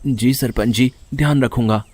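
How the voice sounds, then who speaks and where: A man voicing a gentle, lonely young orphan boy in an animated story, with an earnest, polite, childlike manner. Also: mid-range voice, normal speed, close to an everyday voice. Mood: meek, explaining. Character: lonely, gentle seven-year-old orphan village boy. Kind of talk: Hindi animated story voice acting.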